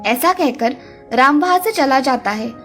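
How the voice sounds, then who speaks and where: A woman's voice: high-pitched, animated, measured pace, fairly bright tone. A woman reading a scripted children's story as its narrator. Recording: music under the voice.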